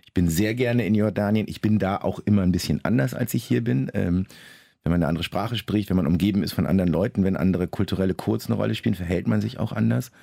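A man being interviewed about his novel, answering in a measured, reflective way with frequent pauses and restarts. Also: on the low side, gravelly, mellow, slightly rough, no echo. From Germany, Lower Saxony.

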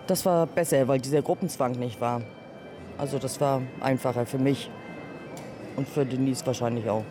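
A woman's voice: a bass voice, moderate pace, over music. A woman from northern Germany with a northern German accent, a pilgrim guest giving short, plain answers in a casual outdoor radio interview. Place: outdoors on a city square.

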